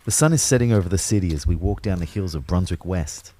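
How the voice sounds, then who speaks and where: A man's voice: deep voice, fast talker, mellow. An actor playing a wry, uneasy young man who narrates his own afterlife in an audio drama, speaking in a hesitant, conversational way. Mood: reflective. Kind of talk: first-person audio drama narration and dialogue.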